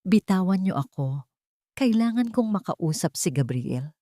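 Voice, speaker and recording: A woman's voice: on the low side, very animated, a little slow, mellow, dry recording, clean studio sound. A woman narrator voicing a desperate, defiant young woman with a Filipino accent in an audio drama, pleading and emotional.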